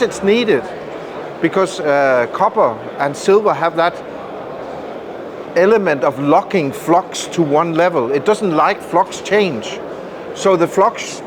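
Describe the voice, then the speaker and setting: Male product maker, somewhat high pitch, emphatic technical explainer, loud show-floor noise behind. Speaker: loudspeaker maker, interview guest. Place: indoor audio trade show room.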